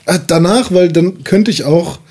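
A man's voice: high-pitched, unhurried, warm tone. A young man improvising a story as a player in a tabletop role-playing game, chatting casually and with slang. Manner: informal, slangy.

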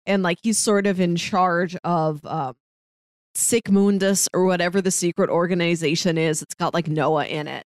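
A woman's voice: lowish voice, steady tone, normal speed, dry recording, studio quality. A woman co-hosting a podcast, talking casually through a recap of a TV series. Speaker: podcast co-host.

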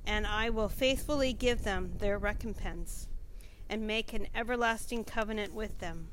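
A woman reading scripture aloud in a solemn, measured, declamatory way. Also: medium pitch. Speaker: scripture reader. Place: outdoors in a park. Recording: noisy outdoor ambience with vehicle sounds.

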